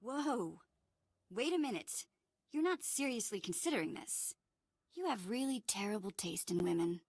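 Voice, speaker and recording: An actress playing a sassy, wary young alien companion in a video game, sarcastic and indignant, with a creaky edge. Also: female voice, mid-range voice, expressive, moderate pace, a little mellow, no room sound, studio quality.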